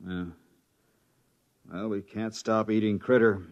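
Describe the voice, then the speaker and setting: An actor playing a glum, weary expedition scientist with a halting, understated delivery in a 1950s radio drama. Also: male voice, lowish voice, steady tone. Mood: sick, weary. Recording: dull old radio recording.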